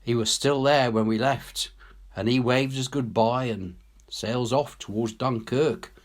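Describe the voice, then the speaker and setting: A man's voice: mid-range voice, measured pace, lightly acted. A man reading a story as a working-class English soldier, telling his war tale colloquially, wry and awed. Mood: earnest, admiring. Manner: colloquial, ungrammatical, animated storytelling. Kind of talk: dramatic audiobook reading.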